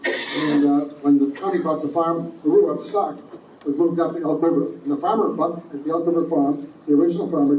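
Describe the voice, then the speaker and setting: A man giving informal announcements at a meeting, halting and full of fillers and restarts. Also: fairly high pitch, slight background noise. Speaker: society president. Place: meeting hall. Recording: echoey, distant, muffled room recording.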